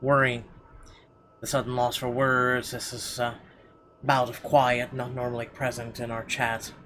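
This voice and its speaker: A man's voice: mid-range voice, little pitch movement, unhurried, a bit bright. A man reading a visual novel's story aloud as a gameplay host. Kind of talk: reading game narration aloud. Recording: clear background noise, game ambience.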